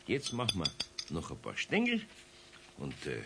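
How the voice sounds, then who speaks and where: A man playing a patient, good-natured older craftsman with a Bavarian accent and a husky voice in a children's radio play. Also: sits low, huge pitch swings, a little slow, noisy background, full character voice. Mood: patient, instructive. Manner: homely, indulgent, explaining.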